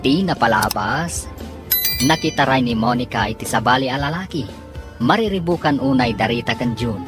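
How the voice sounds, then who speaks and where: A woman's voice: a bass voice, expressive, normal speed. A woman announcing and narrating a radio drama in a scripted, theatrical, declamatory style. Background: music.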